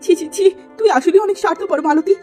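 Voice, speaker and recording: A woman voicing a humble, long-suffering poor young woman in a cartoon, gentle and plaintive. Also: very high-pitched, fast talker, faint music behind, pitched above the performer's own voice. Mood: hurt. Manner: plaintive, pleading.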